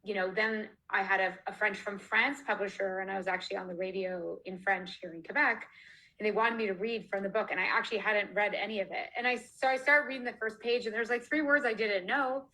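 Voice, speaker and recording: A woman's voice: medium pitch, fairly even intonation, fairly quick, bright, forceful tone, poor audio. A woman author from Canada chatting casually in an interview over a video call, laughing often and restarting her sentences. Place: home, online video call.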